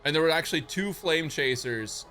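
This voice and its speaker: A man's voice: a little high, expressive, moderate pace, bright, forceful tone. A man streaming live reactions to a game, casual, slangy and jokey. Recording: game music behind the voice.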